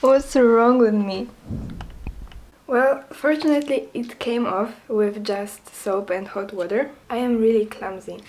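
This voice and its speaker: A young woman with a soft, quiet voice talking casually in a chatty vlog, earnest and a little hesitant. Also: on the high side, a little slow. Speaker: vlogger.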